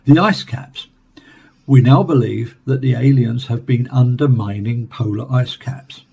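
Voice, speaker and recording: Male scientist, radio drama, mellow tone, raspy edge, earnest, emphatic explainer. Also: medium pitch, a little slow. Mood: grave, emphatic. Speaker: scientist interviewee. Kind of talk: radio play acting.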